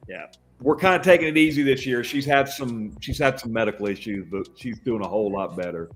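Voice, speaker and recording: An older man with a big booming voice talks casually as a podcast guest, restarting his sentences often. His pitch sits in the middle for a man. Faint music plays underneath. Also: fairly quick. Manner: loud, booming, chatty with many restarts. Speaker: guest, professional Santa.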